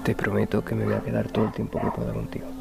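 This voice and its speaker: Quiet, tender young man, a film actor. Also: on the low side, monotone, very fast, gravelly. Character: young man Raúl, gentle and reassuring. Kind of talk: short-film drama acting. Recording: film clip with music.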